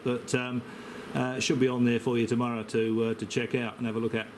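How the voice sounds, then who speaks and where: An Australian man with a mid-pitched voice talks over clear background noise. He is an amateur radio operator in casual conversation, rambling in a hesitant, matey way. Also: level tone, brisk. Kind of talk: casual radio contact chat.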